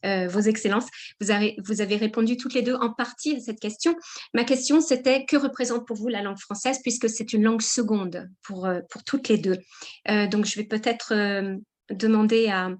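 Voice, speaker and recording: A woman asking a polite question on a video call, with hesitations and restarts. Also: mid-range voice.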